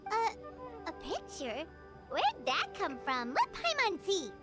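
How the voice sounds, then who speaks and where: A woman's voice: very high voice, huge pitch swings, slow, loud music behind the voice, exaggerated voice. A woman voicing a small, chirpy, eager fairy companion with a childlike manner in a video game. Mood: curious. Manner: speaks of self in third person.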